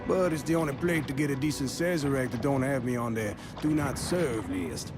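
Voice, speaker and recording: A man playing a gruff, boastful middle-aged Cajun shark hunter in a video game, talking in a folksy, rambling Southern US drawl. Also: mid-range voice, normal speed, natural voice. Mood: grumbling. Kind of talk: mock reality-documentary interview, game acting. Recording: loud music over the voice. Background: loud music.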